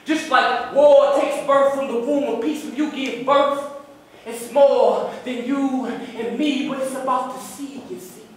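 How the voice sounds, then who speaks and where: Man acting emotional young father, theatrical stage monologue, dramatic pauses, far from mic, very echoey. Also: very high voice, steady tone, voice pushed up. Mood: intense, strained. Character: emotional young father recalling his baby's birth. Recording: very echoey room, distant mic, faint noise.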